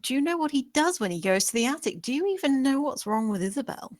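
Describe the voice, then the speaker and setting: A woman's voice: high-pitched, animated, quick pace, a little mellow, no echo, clean studio sound. A woman playing a defiant female servant who questions earnestly and pointedly in a gothic drama table read. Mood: accusing, defiant.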